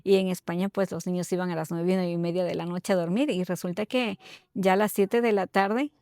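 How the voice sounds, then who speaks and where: A middle-aged woman from Ecuador, telling her personal story haltingly as an interview guest, often checking in with tag questions. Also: lowish voice, fairly even intonation, mellow, no room sound, clean studio sound.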